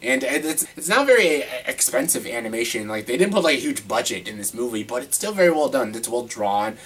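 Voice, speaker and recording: Congested man rambling excitedly. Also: medium pitch, brisk, breathy edge. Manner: excitable, rambling, repeats himself. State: congested with a cold. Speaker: vlogger ranking films. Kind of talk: casual top-ten video monologue.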